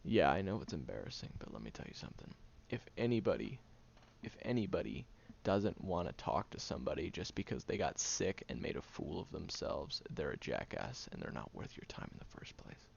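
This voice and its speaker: A man playing a brash, defensive young man with a secretly caring side in an audio roleplay, speaking bluntly and haltingly in a low, gravelly voice. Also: big pitch swings, exaggerated voice. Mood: earnest, reassuring. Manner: blunt, grumbling, sighing, halting delivery. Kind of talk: audio roleplay acting, one-sided dialogue addressed to the listener. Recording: dull home recording with faint noise.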